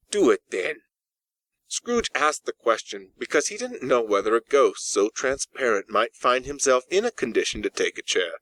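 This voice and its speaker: A man's voice: mid-range voice, a bit bright, no echo, rough recording. A man narrating a literary story from a script as an audiobook narrator. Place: in-house recording, dry.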